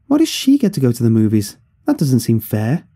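A man's voice: medium pitch, wildly swooping pitch, fairly quick, mellow, breathy edge, heavily acted. A man voicing a sulky, exasperated boy who whines and complains in a dramatized story. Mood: complaining. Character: sulky, exasperated boy arguing with his mother. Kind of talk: scripted story dialogue.

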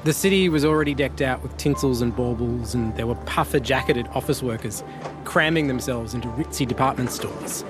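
A man's voice: mid-range voice, fairly quick, music in the background. A man hosting and narrating a documentary in a wry, self-deprecating way. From Australia. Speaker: host and reporter.

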